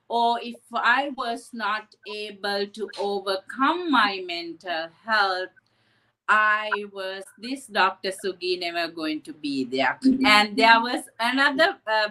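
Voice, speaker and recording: A woman's voice: mid-range voice, lively intonation, slow pace, bright, forceful tone, poor audio. A woman from Sri Lanka, a psychotherapist telling her story earnestly in an interview, often adding "right?". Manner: earnest, reflective, with frequent "right?" asides. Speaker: psychotherapist guest.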